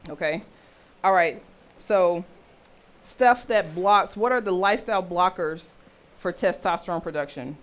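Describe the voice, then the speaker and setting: A woman with a deep voice for a woman, teaching a health session and often checking in with her listeners. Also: unhurried. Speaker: health and intimacy educator.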